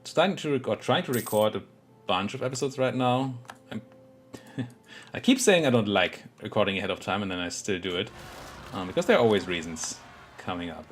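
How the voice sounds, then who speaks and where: A man with a cold, his voice a bit hoarse, casually commenting on his strategy game as he plays, thinking aloud with fillers and restarts. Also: on the low side, a little fast. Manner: muttering thoughts aloud, self-talk with fillers and restarts. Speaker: let's play gaming commentator. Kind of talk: casual live gameplay commentary.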